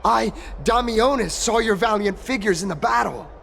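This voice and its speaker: A man playing an excitable, star-struck city dweller in a video game, stammering and grandly earnest. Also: sits high, moderate pace, gravelly, slight background noise. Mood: startled, star-struck.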